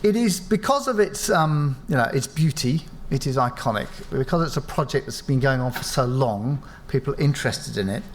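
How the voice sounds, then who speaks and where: A man with a mid-pitched voice speaks as a panelist at a live event. His delivery is measured and reflective, and he sounds modest about his own role. He thinks aloud as he goes, with small restarts. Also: animated, a little hiss or hum. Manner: measured, reflective, self-deprecating. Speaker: engineering firm panelist. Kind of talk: panel talk. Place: event space with live audience.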